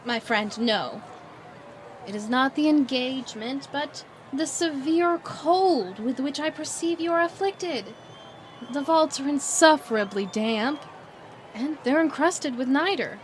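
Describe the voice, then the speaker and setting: A woman acting in an audio drama as a scheming aristocrat, speaking formal archaic lines with measured pauses and feigned concern. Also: high voice, lively intonation, slight background noise, low-quality recording. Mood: falsely reluctant. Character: scheming aristocrat feigning solicitous friendship, secretly vengeful.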